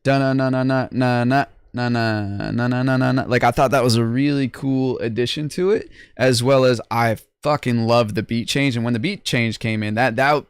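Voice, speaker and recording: A man's voice: medium pitch, fairly even intonation, normal speed, hardly any pauses, clean tone, no room sound, clean studio sound. A young man hosting a livestream, casually rating music contest entries in loose, slangy talk full of restarts. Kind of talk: casual livestream review chat.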